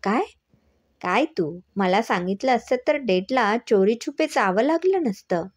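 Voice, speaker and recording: A woman's voice: fairly high pitch, lively intonation, unhurried, dry recording, clean studio sound. A woman storyteller voicing a playful, cheeky young woman who teases and dodges questions. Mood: surprised.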